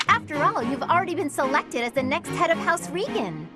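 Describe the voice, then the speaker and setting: A woman's voice: high-pitched, animated, a little fast, music in the background. A woman playing a bubbly, nosy, girlish young noblewoman student in a video game, cutesy and gushing. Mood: bubbly, curious.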